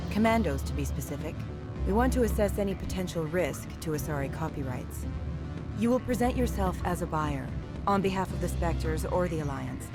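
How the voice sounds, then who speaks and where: An actress playing a coolly businesslike, scheming alien agent in a video game, speaking in a measured, formal, secretive way. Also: female voice, sits low, close to an everyday voice. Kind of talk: video game cutscene acting. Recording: loud music behind the voice.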